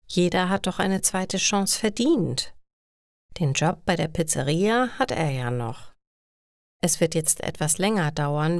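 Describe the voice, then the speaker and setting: A woman narrating a scripted story for language learners in deliberate, clearly separated sentences. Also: lowish voice, no room sound, studio quality.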